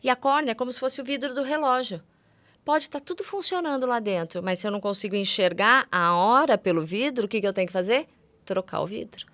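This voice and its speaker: A woman's voice: on the high side, brisk, fairly bright tone, no room sound. A Brazilian woman doctor as a podcast guest, explaining conversationally with everyday comparisons and rhetorical questions.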